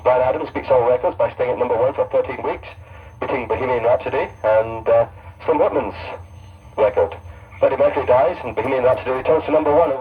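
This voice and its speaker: A man's voice: mid-range voice, fast talker. A man presenting on radio in a casual, unpolished way, reading loosely from notes. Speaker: shortwave radio station presenter. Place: pirate radio station broadcasting from Scotland. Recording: tinny, distant shortwave radio broadcast.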